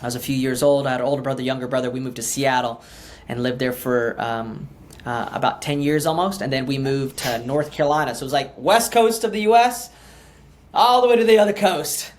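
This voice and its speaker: Male pastor, 30s, podcast guest: casual storyteller who trips over his words, mid-pitched. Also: a little fast. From Ukraine, raised in the US. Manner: chatty, many fillers and restarts.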